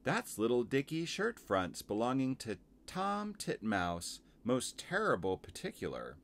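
A man's voice: mid-range voice, huge pitch swings, measured pace, vocal fry, fairly bright tone, voice pushed up, exaggerated voice. A man voicing a small, stout female hedgehog washerwoman in a children's storybook, fussy, chatty and eager to please. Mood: busy, informative. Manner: deferential, rustic servant phrasing, chatty.

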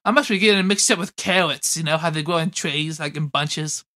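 A man's voice: on the high side, fairly quick, sharp tone. A man voicing a dim, easygoing hairy monster who rambles hesitantly in an improvised comedic fan dub. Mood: confused.

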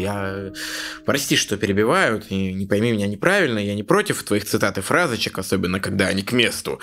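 A man's voice: mid-range voice, a bit bright. A man voicing a weary grumbling cartoon creator. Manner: exasperated, sighing.

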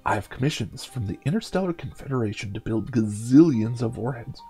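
Guy reading as a sad burly blacksmith, earnest and husky, storybook game w/ music behind. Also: medium pitch, lively intonation, brisk, mellow. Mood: sad, pleading. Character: sad bulky blacksmith pleading for help. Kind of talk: storybook game read-aloud.

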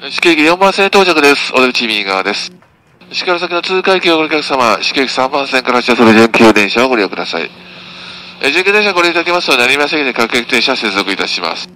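A man's voice: mid-range voice, expressive, fast talker, pushed and bright. A man, a train conductor, giving a clipped, formal live announcement over an onboard PA. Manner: clipped, formal, routine delivery. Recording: noisy train PA recording.

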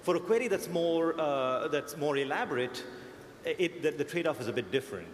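A man's voice: fairly high pitch, animated, normal speed. Man with a mixed American-Indian accent. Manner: thoughtful, restarts phrases. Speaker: search engineer panelist. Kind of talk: conference panel discussion. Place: large conference hall. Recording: echoey conference hall, faint noise.

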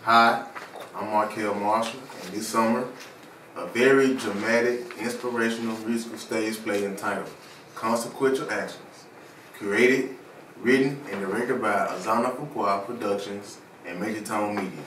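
A man's voice: fairly low pitch, moderate pace. A young man giving a scripted announcement as a cast member presenting a trailer. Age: young adult. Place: small living room, seated before camera. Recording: echoey room, distant mic, hiss.

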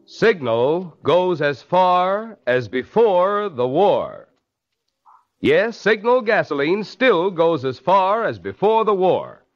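A man's voice: high voice, big pitch swings, slow pace, soft background music. An American man delivering a 1940s radio commercial as announcer, emphatic, persuasive and polished. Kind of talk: 1940s radio advertising pitch. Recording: dull, lo-fi old radio recording.

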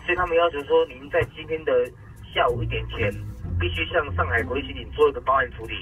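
Fast businesslike man on a phone call. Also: a little high, sharp tone, faint music behind. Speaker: phone scam caller. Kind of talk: scripted call-centre talk.

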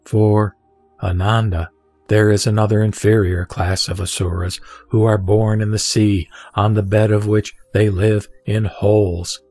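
A man giving a measured, solemn reading of a Buddhist scripture. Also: on the low side, little pitch movement. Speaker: sutra reciter. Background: soft background music.

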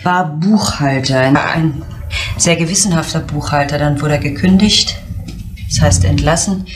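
A woman's voice: low-pitched, normal speed, slight background noise, lightly acted. An actress playing a subdued young woman, a grieving daughter who answers hesitantly in a naturalistic crime drama. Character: subdued, hesitant grown daughter of a dead drinker.

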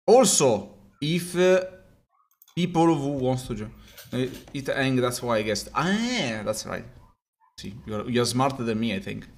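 A man's voice: mid-range voice, lively intonation, measured pace. A young man from Italy with an Italian accent, chatting and joking as a streamer in a small room at home, often stumbling over his words. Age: young adult. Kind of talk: casual live-stream chat. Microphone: webcam framing, wearing headphones.

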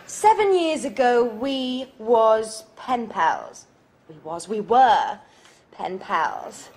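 An actress, playing a bubbly, flirtatious flatmate in a slow, exaggerated sitcom for English learners. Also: female voice, on the high side, a little hiss or hum, full character voice. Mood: recalling, surprised. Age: 20s. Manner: theatrical, deliberate, clearly enunciated. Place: indoor studio apartment set.

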